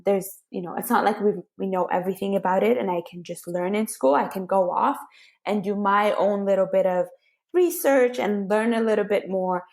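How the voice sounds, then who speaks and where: A woman's voice: mid-range voice, darkish tone. A woman from Iran, a planetary scientist, answering interview questions in a chatty, self-deprecating way over a remote call.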